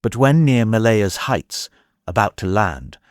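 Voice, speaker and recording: Audio drama role: aged sea god, solemn and reluctant, deep register, archaic oratory, dry recording. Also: male voice, expressive, unhurried, no room sound. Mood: grave, foreboding. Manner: grave, archaic, declamatory. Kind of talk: dramatized epic audiobook acting.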